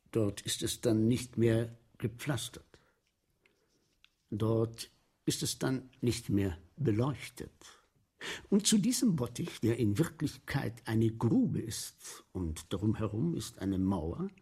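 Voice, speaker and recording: A man's voice: medium pitch, animated, slow, dark tone, slightly rough, full character voice. An actor playing a boastful, eager sewer inspector in a radio play, telling of his show like a theatrical, relishing storyteller. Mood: storytelling. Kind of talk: 1970s German radio play acting.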